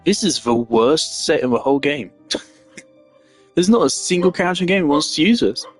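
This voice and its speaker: A man's voice: fairly high pitch, lively intonation, moderate pace. A man giving casual game commentary, rambling and thinking aloud as he plays. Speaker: gamer. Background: faint game music.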